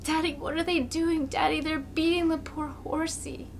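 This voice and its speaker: Lady doing a upset little boy, high pleading kid voice, slight noise behind. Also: flat delivery, moderate pace, creaky. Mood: distressed, pleading. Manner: pleading, childlike.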